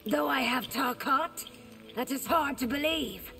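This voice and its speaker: High-voiced, guarded young warrior noblewoman. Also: measured pace, vocal fry, far from the mic, over loud music. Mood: earnest, doubtful. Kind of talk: scripted game dialogue.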